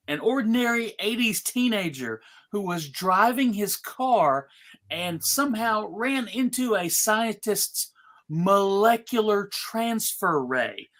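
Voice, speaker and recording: Casual event host and narrator, very animated pitch, halting mock-grand announcements. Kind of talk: casual live event hosting.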